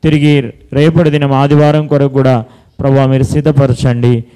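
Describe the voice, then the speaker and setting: A man's voice: mid-range voice, flat delivery, normal speed, warm tone, clear, resonant tone, low-quality recording. A man leading a children's Bible school, teaching and praying in simple, instructive phrases. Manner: instructing, repeats simple commands and questions. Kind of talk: preaching to children and leading prayer.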